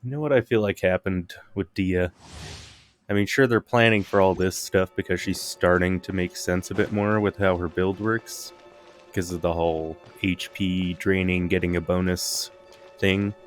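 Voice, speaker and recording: A man streaming a game, thinking aloud in casual, rambling commentary. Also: deep voice. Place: live stream with game audio behind.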